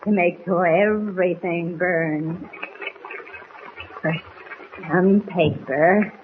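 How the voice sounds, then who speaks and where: Slow, menacing madwoman, old radio. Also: on the low side, steady tone. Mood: crazed gloating. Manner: menacing, mocking, melodramatic. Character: half-mad, bitter woman villain holding a captive at gunpoint. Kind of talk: 1940s radio acting. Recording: tinny, noisy 1940s radio recording.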